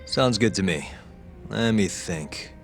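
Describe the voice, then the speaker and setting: A man's voice: on the low side, expressive, slow pace, gravelly, faint music behind, full character voice. An actor playing a cool, wry detective in his thirties with a dry, terse delivery in a video game scene. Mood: probing. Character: cool, wry young male detective in leather jacket. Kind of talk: video game cutscene acting.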